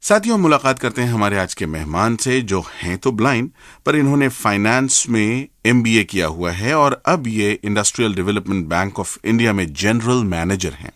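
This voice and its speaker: Animated low-voiced male radio presenter. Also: moderate pace, dry recording, studio quality. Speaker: radio show co-host.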